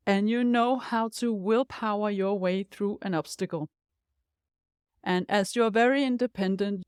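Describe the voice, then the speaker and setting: Danish woman, 50s, life coach host; upbeat, direct to listener, mid-pitched, dry close mic. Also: a little mellow, smooth, dry recording. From Denmark. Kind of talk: scripted podcast monologue.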